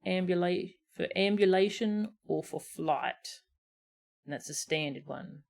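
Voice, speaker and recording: A woman's voice: fairly low pitch, measured pace, creaky, darkish tone. A woman streamer giving casual, enthusiastic reaction commentary on a game. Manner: enthusiastic, admiring asides.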